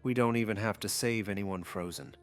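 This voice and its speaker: A man's voice: lowish voice, moderate pace, soft background music, close to an everyday voice. A man voicing a wary, cynical teenage boy in an anime audiobook, muttering and sardonic.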